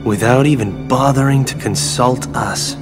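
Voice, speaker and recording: A man's voice: mid-range voice, unhurried, music in the background, close to an everyday voice. A man playing a blunt, suspicious hooded tracker who speaks curtly in a game cutscene. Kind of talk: video game cutscene dialogue.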